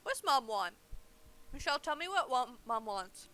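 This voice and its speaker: A woman's voice: sits high, very animated, moderate pace, sharp tone. A woman chatting casually while she plays a game on a live stream. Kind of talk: casual live gameplay chat.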